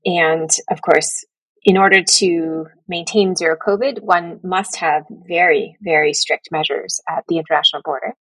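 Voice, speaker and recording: Low-pitched, husky woman giving expert answers. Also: steady tone, normal speed, no room sound, low-quality recording. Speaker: public health professor, interview guest. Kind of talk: considered expert interview answers.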